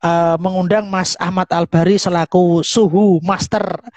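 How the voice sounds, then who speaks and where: A woman's voice: lowish voice, steady tone, measured pace, a little mellow, dry recording. A woman with a Javanese accent hosting an informal online question-and-answer session. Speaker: host, university staff member. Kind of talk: informal online live talk and Q&A.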